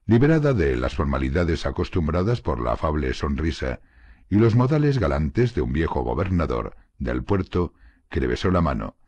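Deep husky male audiobook narrator. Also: no echo. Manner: measured, steady reading. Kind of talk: scripted literary narration.

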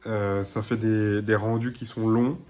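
A man in his thirties with a blocked nose and slightly hoarse voice, chatting casually to his webcam at home as a gaming YouTuber, rambling with lots of filler sounds. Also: on the low side, little pitch movement, measured pace, warm tone, soft background music. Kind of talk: casual to-camera chat. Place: small room at home.

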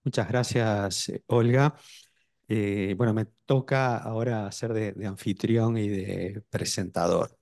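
A middle-aged man presenting an event over a video call, pausing often with fillers and restarts. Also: lowish voice, steady tone, gravelly, darkish tone, no room sound, clean studio sound. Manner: hesitant, with many fillers and restarts. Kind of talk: formal event introduction.

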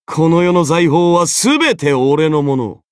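Casting: an arrogant anime game king, imperious and condescending, slow, deliberate delivery, swinging widely in pitch. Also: big pitch swings, no echo, clean studio sound. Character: arrogant golden-armored male king, haughty, easily bored. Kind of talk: dramatic anime game character voice acting.

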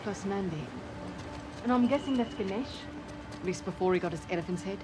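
Tough south african merc woman done by an actress, blunt and guarded, game dialogue over loud music. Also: medium pitch, animated, fairly quick, lightly acted. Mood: matter-of-fact. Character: tough, blunt, guarded female mercenary adventurer. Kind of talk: video game acting, naturalistic dialogue.

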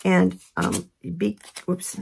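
A woman with a hoarse voice from a head cold, giving a relaxed, chatty craft tutorial at her work table. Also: lowish voice, slow pace, slight background noise. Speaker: craft instructor. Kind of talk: casual step-by-step tutorial.

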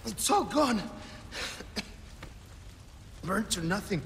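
A man's voice: high-pitched, very animated, slow, a bit bright, slight background noise, heavily acted. A man playing a roguish, guilt-ridden scientist in a dramatic video game. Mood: despairing. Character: roguish, guilt-ridden male scientist, suave but weary. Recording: cinematic music behind the voice.

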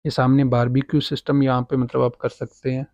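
A man with a Pakistani Urdu accent, talking casually as he walks a property and points things out. His voice sits at a medium pitch. It is recorded close and dry on a handheld phone. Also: flat delivery, a little fast, darkish tone, no echo, dull audio. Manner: casual guiding, filler-heavy, pointing things out. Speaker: property agent. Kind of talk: walking property tour. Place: outdoors on a farm property, walking.

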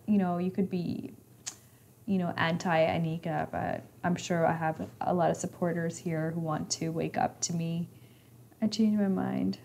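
A woman of twenty-five, a little raspy today, hosting a live stream and chatting as she reads comments, teasing and laughing often. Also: on the low side. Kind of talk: casual live stream chat reading comments. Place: indoor room with leather couch.

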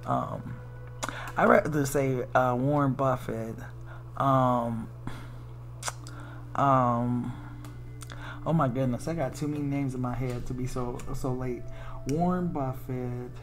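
A woman's voice: very deep voice, fairly even intonation, slow pace, long pauses, a little hiss or hum. An American woman giving a casual, chatty tarot reading, musing aloud in informal, slangy, salty language. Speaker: tarot reader.

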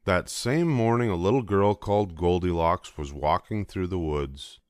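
Older man, very low voice, storybook narration, dry close sound. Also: moderate pace, smooth, no echo. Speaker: storybook reader. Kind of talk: scripted storybook narration for children.

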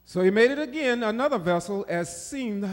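A man with a high voice that swings freely reads Scripture aloud at a stand mic, putting in quick amens between the preacher's lines. The church room carries a long reverb. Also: animated. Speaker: Scripture reader. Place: small church sanctuary.

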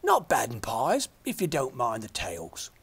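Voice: medium pitch, huge pitch swings, unhurried, husky, exaggerated voice. A reader voicing a tearful, simple old male shepherd who speaks in a rustic country dialect. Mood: storytelling.

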